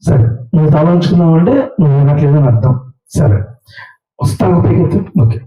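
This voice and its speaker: A man's voice: fairly high pitch, expressive, quick pace, dark tone, faint music behind. A man teaching children at a Sunday school puppet show, coaxing and questioning a child in a large hall. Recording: roomy, distant, dull sound.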